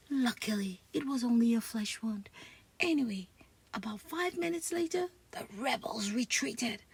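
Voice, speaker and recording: A woman reading a children's comic story aloud in an expressive storytelling style, with a husky, breathy voice. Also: high-pitched, no room sound.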